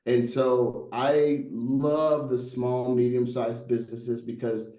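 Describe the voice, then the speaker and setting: This is a middle-aged man sharing stories in a relaxed interview. He has a soft, mellow tone and keeps checking in with rhetorical "right?" asides. His voice rings a little in an echoey room. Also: mid-range voice, slow. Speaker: guest, brand designer. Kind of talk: casual interview chat.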